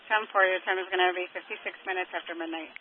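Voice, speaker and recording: High-voiced male police dispatcher on tinny radio. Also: fairly even intonation, racing. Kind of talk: procedural radio dispatch talk. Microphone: two-way radio heard over a scanner. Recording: tinny, noisy police scanner radio with clicks.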